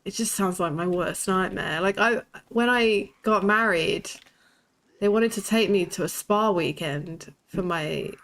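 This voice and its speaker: A woman's voice: mid-range voice, a little slow, a bit bright, poor audio. A young woman with a lisp, chatting bluntly and swearing while streaming a game. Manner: blunt and sweary, laughs often. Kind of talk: casual chat while playing a shooter game.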